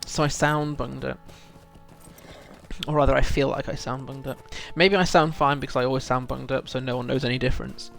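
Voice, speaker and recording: Man with creaky voice, casual game streamer. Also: medium pitch, steady tone, fairly quick, a bit bright, soft background music. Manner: rambling, thinking aloud, addressing chat. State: feels full of cold, often clearing throat. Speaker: game livestream host. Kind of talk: relaxed casual chat while gaming.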